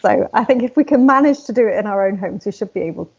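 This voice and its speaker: A woman's voice: medium pitch, animated, quick pace, creaky, slightly rough, highs cut off. A woman hosting a conversational interview, chatty and agreeable in the way she talks. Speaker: interviewer, host.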